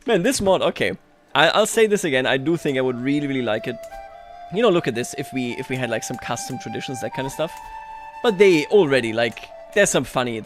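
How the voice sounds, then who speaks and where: A man hosting a livestream, chatting casually and thinking aloud over a strategy game in a wry, playful way. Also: mid-range voice. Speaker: livestream host.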